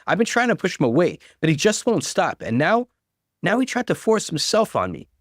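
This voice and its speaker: A man's voice: sits high, wildly swooping pitch, brisk, no echo, pitched above the performer's own voice. A manipulative, seductive woman in a dramatic story reading, acted by a male narrator. The voice is raised and swings widely, pleading in a melodramatic way. It sounds dry and close. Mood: tearful pleading.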